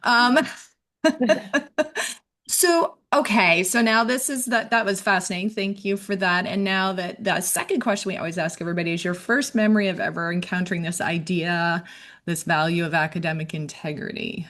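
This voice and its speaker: Lower-voiced woman host just chatting, easygoing, lots of mm-hmms. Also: normal speed. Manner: relaxed, lots of listening noises, muses aloud. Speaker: podcast host. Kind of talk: casual podcast conversation.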